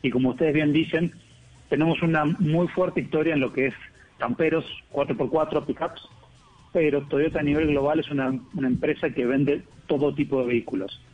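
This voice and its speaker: A man with an Argentine accent, a car company executive speaking as a guest in a radio interview by phone, in measured corporate phrasing with fillers. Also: fairly high pitch, fairly even intonation. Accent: Argentine Spanish. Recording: tinny phone line.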